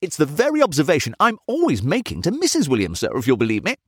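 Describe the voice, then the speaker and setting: A man's voice: fairly high pitch, wildly swooping pitch, quick pace, slightly rough, dry recording, studio quality, voice pushed up, full character voice. A man voicing an eager, agreeable male servant who talks fussily and emphatically, in a storybook reading. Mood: emphatic, admiring. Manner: emphatic, over-agreeing, uneducated grammar.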